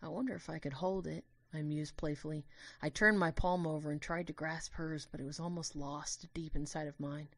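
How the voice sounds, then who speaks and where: A woman narrates as a lovestruck young student in a first-person audio drama. The voice is deep for a woman and recorded very dry. The storytelling is earnest and expressive, weighed with guilt. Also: normal speed, dry recording. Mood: fond.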